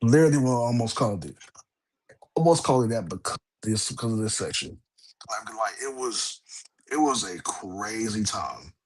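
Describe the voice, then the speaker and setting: A man's voice: lowish voice, animated, normal speed, breathy edge, poor audio. A man hosting a wrestling podcast in casual chat, rambling with lots of fillers and restarts. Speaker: podcast host.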